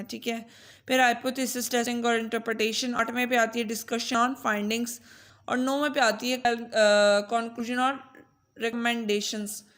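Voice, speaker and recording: A woman's voice: on the high side, fairly bright tone. A Pakistani woman giving an informal, chatty lesson through a voice changer, mixing languages with joking asides to students. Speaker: tutor. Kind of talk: informal lesson mixing Urdu, Punjabi and English.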